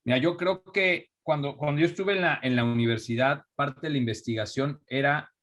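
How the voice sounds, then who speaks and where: A young adult man from Mexico with a Mexican accent, speaking as an interview guest in a relaxed, reflective way with frequent restarts. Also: medium pitch, moderate pace. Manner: thoughtful, restarts often, reflective. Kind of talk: conversational interview.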